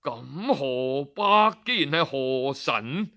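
A man's voice: medium pitch, expressive, slow, sharp tone, voice pushed down, heavily acted. A man voicing a stern magistrate from ancient times who questions and commands. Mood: commanding.

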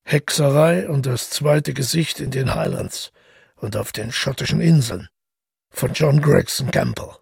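An actor playing a brooding, haunted man who tells his past in a halting, intimate audio drama monologue with a husky, gravelly voice. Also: mid-range voice, expressive, darkish tone, no room sound, clean studio sound, full character voice. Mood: uneasy recollection. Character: brooding, haunted male first-person narrator recalling the past.

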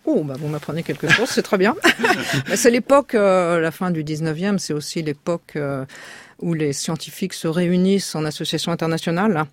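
A woman's voice: fairly low pitch, animated, brisk, a little hiss or hum. A woman, a historian of science guest on the radio, explaining in a chatty, hesitant way with light laughs. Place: radio studio.

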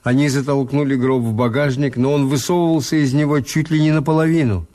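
Актёр играет усталого ироничного хозяина гостиницы, который сдержанно и сухо ведёт рассказ в советской радиопостановке. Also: male voice, mid-range voice, steady tone, fairly quick, mellow, lightly acted. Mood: measured, wry. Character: weary, ironic male hotel owner recounting events. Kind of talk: Soviet radio drama narration and dialogue.

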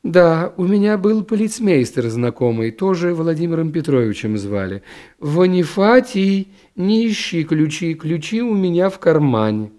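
Blunt, bossy older princess performed by a male reader, literary audiobook, soft-edged tone, prying delivery. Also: a little high, big pitch swings, unhurried, pitched above the performer's own voice. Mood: chatty, bossy. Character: blunt, unkempt princess of about fifty.

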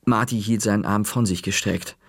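A man narrating a children's story in a lively, expressive audiobook reading. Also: fairly low pitch, normal speed, slightly rough, no echo, studio quality.

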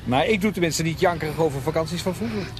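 A man's voice: on the high side, quick pace, music in the background, close to an everyday voice. An actor playing a teasing, jovial middle-aged father in a radio drama, bantering and coaxing.

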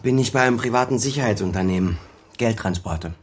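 An actor playing a weary, defensive son and former officer in a naturalistic radio crime drama, speaking in a bitter, guarded way. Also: male voice, fairly low pitch, quick pace, breathy edge. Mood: defensive, wary. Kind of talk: naturalistic German radio play dialogue.

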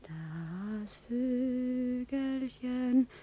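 An actress playing a sorrowful young mother in a radio play, phrasing simply and haltingly like someone telling a child a verse. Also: on the high side, animated, warm tone, full character voice. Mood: tender, mournful. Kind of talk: slow radio play acting, poetic lullaby.

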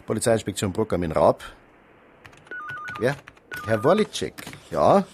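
An actor playing a folksy small-town desk policeman, colloquial and excitable, with a Bavarian accent. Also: on the low side, unhurried, noisy background, full character voice. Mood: casual, busy. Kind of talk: naturalistic radio drama acting.

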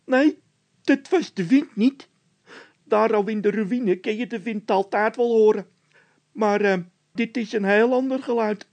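A man voicing a squeaky, fretful sidekick in a children's audiobook, stubborn and anxious. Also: a little slow, creaky, darkish tone.

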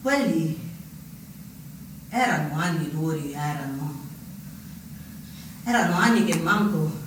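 An actor playing an agitated, furtive neo-fascist in a halting theatrical monologue, repeating himself insistently. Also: male voice, on the high side, animated, a bit bright. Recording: echoey distant stage recording, faint noise.

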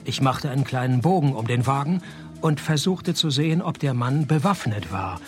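An actor playing a laconic, cynical private detective who narrates and talks in a dry, deadpan way in a radio play. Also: male voice, medium pitch, normal speed, faint music behind, close to an everyday voice. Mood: deadpan narrating.

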